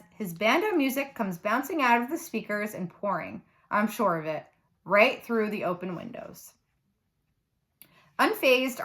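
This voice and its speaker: A woman reading a children's novel aloud like a teacher, with animated, dramatic emphasis. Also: medium pitch.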